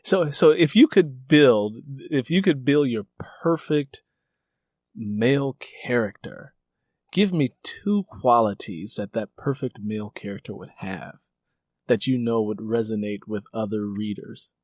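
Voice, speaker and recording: A man's voice: medium pitch, measured pace, a little mellow. Male interviewer, close and dry sound. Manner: echoes and restates the guest's answers. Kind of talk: casual interview.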